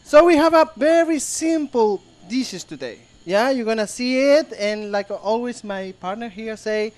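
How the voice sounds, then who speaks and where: Joking chef with a Chilean Spanish accent. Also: male voice, high voice, lively intonation, measured pace, a little mellow. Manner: joking, broken English. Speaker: ship's show chef. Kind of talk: live cooking demonstration. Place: ship's show kitchen before an audience.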